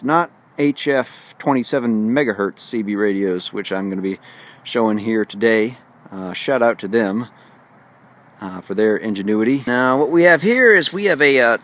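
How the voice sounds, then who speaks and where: A man giving a casual hands-on walkthrough as a vlogger, speaking haltingly and often pausing mid-sentence. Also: mid-range voice, a bit bright. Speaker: vlogger showing a radio install.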